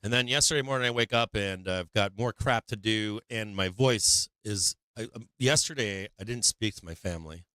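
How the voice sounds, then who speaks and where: A hoarse middle-aged man hosting a casual stock market livestream, rambling candidly and swearing now and then as his voice gives out. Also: fairly low pitch, normal speed, hardly any pauses, sharp tone, no room sound, low-quality recording.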